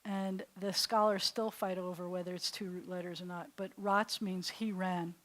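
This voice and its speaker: A woman's voice: on the low side, flat delivery. Chatty woman teaching a Hebrew class. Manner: chatty, restarts often, sounds words out slowly. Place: classroom or congregation hall.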